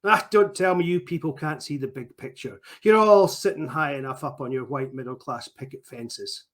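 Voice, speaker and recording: A man's voice: on the high side, normal speed, fairly bright tone, natural voice. A man voicing a bitter male killer who sneers and rants in a mocking way. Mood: sneering. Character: bitter male killer ranting in a sunken wreck.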